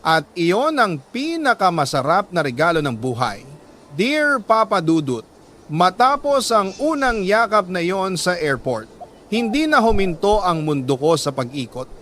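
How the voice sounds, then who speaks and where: Male Filipino radio storyteller, higher-pitched, earnest and emotive letter reading, dramatic pauses, slight background noise. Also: big pitch swings, a bit bright. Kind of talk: scripted dramatic narration of a sentimental letter.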